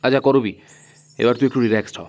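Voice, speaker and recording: A man's voice: mid-range voice, steady tone, fast talker, a little mellow, lightly acted. A man playing a sensible, firm young investigator with a questioning manner in an audio horror story. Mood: measured, reassuring. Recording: music under the voice.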